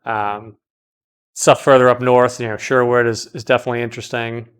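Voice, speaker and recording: A man's voice: mid-range voice, flat delivery, a little fast, smooth. Man, late thirties, casual golf-course chat, easygoing, laughs often, outdoors. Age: 30s. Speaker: golf stream host.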